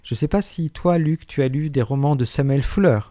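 Man, radio book columnist, slightly high voice, dry studio sound, wry and articulate, hesitant at times. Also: moderate pace, dark tone, dry recording. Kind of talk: radio literary chronicle, partly read.

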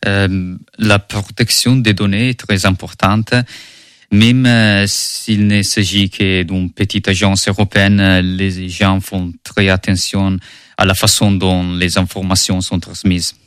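A man whose first language is Italian, with an Italian accent, answering interview questions in French as an intern at an EU agency, measured and full of hesitation sounds. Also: sits low, level tone, a bit bright, clear, resonant tone, dry recording, studio quality. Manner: measured, with frequent hesitation fillers.

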